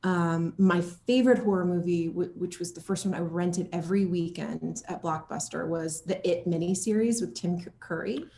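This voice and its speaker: A woman's voice: lowish voice, steady tone, normal speed, vocal fry, hardly any pauses, dark tone, slight background noise. Bubbly, giggly woman in an echoey room. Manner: bubbly and giggly, uses playful slang. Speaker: actor being interviewed. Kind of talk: casual chat in a video interview. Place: home audition room.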